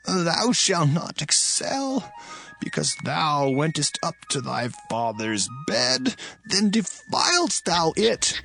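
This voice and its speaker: An actor playing a solemn, aged dying patriarch in a dramatized scripture reading, declaiming haltingly in a creaky voice. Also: male voice, sits high, fairly bright tone, exaggerated voice. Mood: grave. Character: Israel, aged dying biblical patriarch, solemn, blessing his sons.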